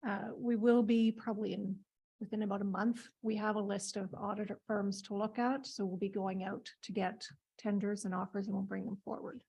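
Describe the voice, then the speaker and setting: A woman's voice: medium pitch, level tone, fairly quick, gravelly, warm tone, slightly rough, poor audio. A woman on finance staff giving a matter-of-fact report at a board meeting.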